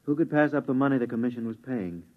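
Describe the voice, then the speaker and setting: A man's voice: mid-range voice, animated, quick pace, natural voice. Easygoing, wistful space crewman played by a man, middle register, plainspoken; vintage radio drama, very narrow tinny sound. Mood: casual. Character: easygoing, wistful married moon-base crewman. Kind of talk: 1950s radio drama acting. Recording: thin, phone-like vintage radio recording.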